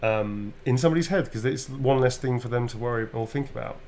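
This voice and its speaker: A man's voice: mid-range voice, brisk, darkish tone, slight background noise. A British man, a voice actor, answering fan questions in a loose, chatty way with plenty of ums and restarts. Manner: chatty, loose and informal, full of ums and self-corrections. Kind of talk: casual live Q&A.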